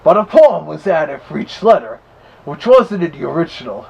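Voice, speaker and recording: Man playing cartoon wolf villain, chatty vlog host, gravelly, husky, slightly high pitch, casual review style. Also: sing-song, normal speed, darkish tone, dull audio, heavily acted. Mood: chatty, easygoing.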